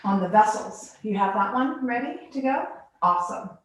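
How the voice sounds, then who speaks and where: A woman from the Bahamas and Virgin Islands, a glass artist giving a casual guided tour in an informal, halting way with pauses between phrases. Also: on the high side, animated. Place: living room of a home studio. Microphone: phone on a selfie stick. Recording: echoey room, live video stream.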